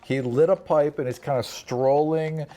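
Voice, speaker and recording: A man's voice: fairly high pitch, fairly even intonation, moderate pace, mellow. A man narrating a tabletop fantasy game as game master, in a conversational, casual way. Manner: conversational, casual, peppered with 'you know' and 'right'.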